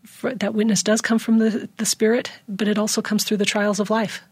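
A woman on a discussion panel, speaking in a measured, heartfelt way with soft emphasis. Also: mid-range voice, level tone, breathy edge, no echo, clean studio sound. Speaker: panel guest. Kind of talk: earnest discussion of faith.

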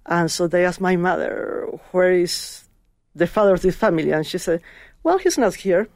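Gravelly woman, earnest. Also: on the low side, expressive, normal speed, a little mellow, no room sound, studio quality. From Chile. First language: Spanish. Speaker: guest. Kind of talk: heartfelt personal testimony.

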